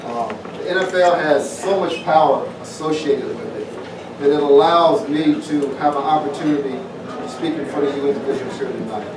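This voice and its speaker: A man's voice: fairly high pitch, normal speed. Guy talking to a crowd at an evening event, casual and folksy, far from the mic, lots of noise around. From southwest Virginia. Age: middle-aged. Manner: folksy, plainspoken, repeats phrases. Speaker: former pro football player announcing a festival. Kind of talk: informal public speech. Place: evening community event before an audience. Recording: roomy, distant mic, noisy event.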